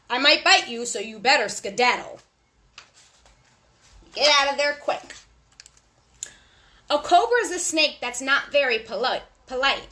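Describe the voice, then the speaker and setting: A woman storyteller reading a rhyming picture book aloud to young children in an animated, sing-song way. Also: high-pitched.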